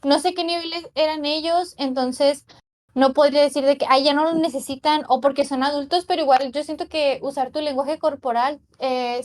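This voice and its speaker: High-voiced young woman, thoughtful and hedging. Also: darkish tone. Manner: reflective, hedging, many fillers. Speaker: language teaching student. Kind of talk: casual conversation analyzing a class.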